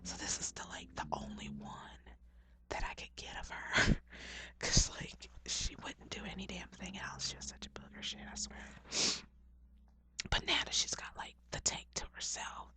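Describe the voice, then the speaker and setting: A woman's voice: lowish voice, huge pitch swings, normal speed, pushed and bright. Whispering young woman, rambling. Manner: whispering, rambling, slangy with filler "like"; swears casually, laughs at herself. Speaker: vlogger. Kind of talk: whispered casual chat about pet frogs over photos.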